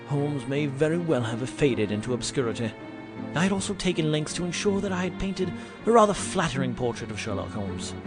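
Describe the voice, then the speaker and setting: A man's voice: a little high, natural voice. A man playing an indignant, self-important Victorian doctor in an audio drama, pompous and theatrical in formal phrasing. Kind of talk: audio drama acting and dramatic first-person narration.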